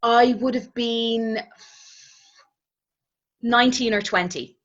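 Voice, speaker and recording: A woman in her twenties with an Irish accent, a guest telling her story in a relaxed, reflective way and often hedging with "kind of". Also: fairly high pitch, slow pace. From Ireland. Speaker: interview guest. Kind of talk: casual conversational storytelling. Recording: slightly dull, muffled audio.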